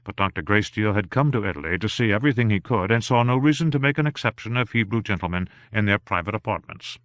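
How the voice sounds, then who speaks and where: A man narrating an unabridged novel aloud in a measured, even storytelling manner, with only light touches of voicing for the characters. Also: fairly low pitch, no room sound. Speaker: audiobook narrator.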